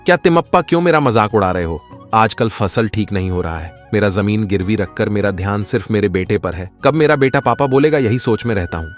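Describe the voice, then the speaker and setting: Man acting a low-voiced, troubled village father. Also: expressive, brisk, soft background music, close to an everyday voice. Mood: downcast. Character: worried village farmer father, quick to suspicion and frustration. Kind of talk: animated moral story voice acting.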